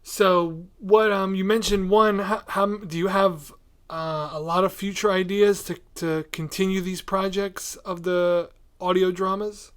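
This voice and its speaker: High-voiced man hosting a casual podcast. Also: level tone, measured pace, smooth. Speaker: podcast host, interviewer. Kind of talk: casual conversational interview.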